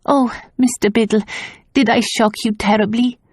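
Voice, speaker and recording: An anxious young noblewoman, pleading breathlessly in a raised voice, as a woman reading a storybook puts her on. The tone is a touch husky, recorded close and dry. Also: on the high side, steady tone, normal speed, a little mellow, no echo, voice pushed up. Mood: apologetic.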